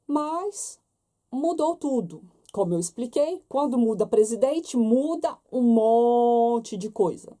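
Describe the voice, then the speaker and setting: Chatty Brazilian woman with a mellow voice. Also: a little high, lively intonation, takes it slowly. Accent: Brazilian Portuguese. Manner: chatty, talks straight to viewers with many tag questions. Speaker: vlogger. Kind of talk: casual chat to camera.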